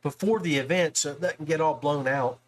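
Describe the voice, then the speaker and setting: A man's voice: on the high side, normal speed, low-quality recording. A man with an American accent chatting casually as the host of a podcast. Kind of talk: casual chat.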